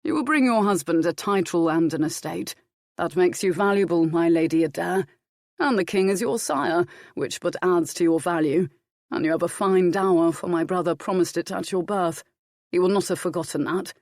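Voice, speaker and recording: A woman voicing a protective royal duke, commanding yet kindly, with measured formal speech in a historical audiobook. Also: lowish voice, darkish tone, dry recording, clean studio sound, lightly acted.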